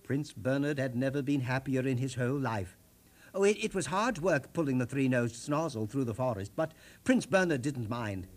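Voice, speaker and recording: A man reading a children's story aloud in an expressive, theatrical way, lingering deliberately on the descriptions. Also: medium pitch. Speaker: storyteller host.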